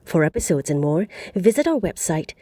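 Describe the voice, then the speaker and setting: A woman's voice: lowish voice, sing-song, normal speed, mellow, no room sound, clean studio sound. A woman reading a scripted closing announcement for a podcast. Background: music.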